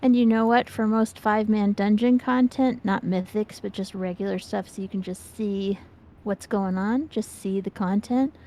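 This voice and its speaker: A woman's voice: medium pitch, fairly even intonation, moderate pace, warm tone, slight background noise. A woman in her fifties chatting casually and candidly about gaming as a streamer. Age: 50s. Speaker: game streamer, co-host.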